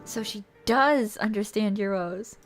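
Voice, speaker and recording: A woman streamer reading a visual novel aloud as theatrical storybook narration, expressive and playful. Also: medium pitch, unhurried. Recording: music behind the voice.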